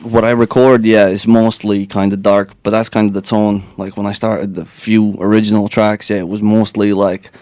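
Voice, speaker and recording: A man's voice: lowish voice, level tone, normal speed, few pauses, a little mellow, no room sound. A young man from Latvia, a guest rapper chatting in a radio studio, rambling and laid-back with lots of fillers. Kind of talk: casual interview chat.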